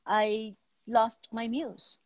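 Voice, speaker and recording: A woman in her fifties hosting a casual interview on a video call, pausing often as she searches for words. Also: fairly high pitch, little pitch movement, dry recording. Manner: hesitant, pausing mid-sentence to search for words. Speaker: talk show host. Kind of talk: casual interview chat about art. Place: indoor room at home, on a video call.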